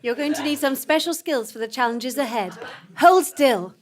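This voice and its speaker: Earnest mentor to a rebel cause, female actor. High voice, solemn delivery, for comic sci-fi audio drama. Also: normal speed, lightly acted. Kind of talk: comic sci-fi radio-play acting.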